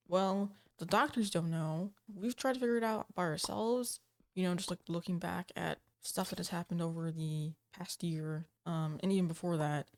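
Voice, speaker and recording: A woman with a slightly low voice, a little off from a cold, talks straight to camera from home. She rambles in a casual vlog style, hesitating, restarting and padding her sentences with fillers. Her voice is picked up by a desk microphone. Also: darkish tone. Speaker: gaming channel host. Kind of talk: casual talking-to-camera update.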